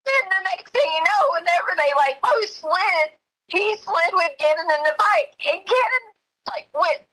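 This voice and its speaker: High, bright-voiced woman on a phone call. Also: lively intonation, unhurried. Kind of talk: emotional personal account over the phone.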